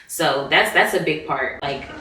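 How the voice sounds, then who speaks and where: Woman co-host, deep-pitched, reflective, distant echoey recording. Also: lively intonation, measured pace, sharp tone, a little hiss or hum. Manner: reflective, often says "like". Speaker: podcast co-host. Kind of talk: casual discussion. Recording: echoey room, far from mic.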